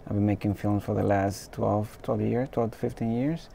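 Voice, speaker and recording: A man in his thirties from the Dominican Republic talks in a gravelly, low, flat voice with a dark tone. He introduces himself on a studio talk show at a measured pace, with frequent pauses. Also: a little hiss or hum. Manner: measured, many uh fillers. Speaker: guest, filmmaker.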